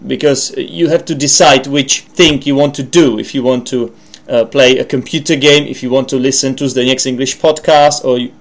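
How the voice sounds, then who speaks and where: A Czech man with a Czech accent gives an informal English lesson as a podcast host, rambling, correcting himself and checking in with the listener. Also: mid-range voice. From Czech Republic. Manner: rambling, self-correcting, with frequent asides and check-in questions. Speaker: language podcast host and teacher.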